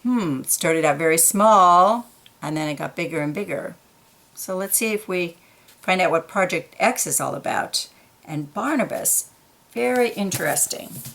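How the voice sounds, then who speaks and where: A woman reading a children's picture book aloud, gently pointing out details in the pictures between passages. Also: lowish voice, animated, normal speed, gravelly.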